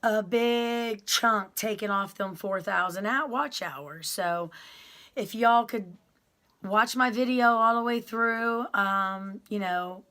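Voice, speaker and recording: A woman, talking slowly to her viewers. She rambles and restarts often, with plenty of ums. Her voice is loud and carries. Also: mid-range voice. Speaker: YouTuber telling her prison story. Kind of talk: casual storytelling to viewers.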